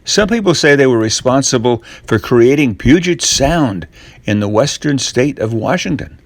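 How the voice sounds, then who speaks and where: An older man narrates a children's tall tale at an unhurried pace. His pitch swings expressively between deliberate pauses, and the sound is close and dry. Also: no echo. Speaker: storyteller reading a tall tale.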